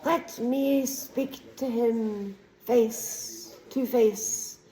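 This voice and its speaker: A woman reading aloud voices a cold, menacing male dark wizard, drawing out his words slowly. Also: on the high side, low-quality recording.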